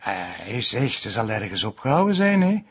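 An actor playing a patient, reassuring Flemish friend in a television crime drama, with a husky voice. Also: male voice, on the low side, sing-song, moderate pace, heavily acted. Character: calm, reassuring male friend, easygoing and patient.

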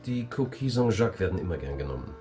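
An actor playing a discreet hotel waiter and barman in a radio crime comedy, formal, polite and smoothly recommending. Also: low voice, big pitch swings, very fast. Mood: persuasive. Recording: guitar music clearly behind.

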